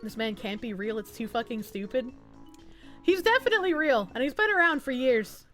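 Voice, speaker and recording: A woman streamer comments casually on what she reads, sardonic and sweary. Her voice is high and lively, moving freely in pitch, over faint background music. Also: moderate pace. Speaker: streamer reading and reacting to posts. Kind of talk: casual livestream commentary with dramatic flair.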